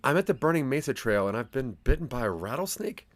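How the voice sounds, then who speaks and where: A man's voice: medium pitch, sing-song, fast talker, no room sound, voice pushed up, lightly acted. A man voicing an anxious, snakebitten inventor phoning for help in an audio drama, hesitant and stammering.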